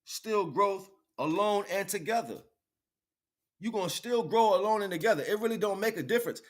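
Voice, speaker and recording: A man's voice: high-pitched, normal speed. A man giving an improvised spiritual, motivational livestream talk in an emphatic, preachy way, repeating phrases for effect.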